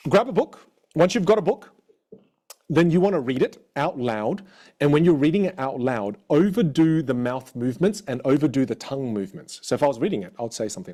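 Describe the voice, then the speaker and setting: A man with an Australian accent coaching a live online audience in an energetic, encouraging way. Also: mid-range voice. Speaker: communication coach.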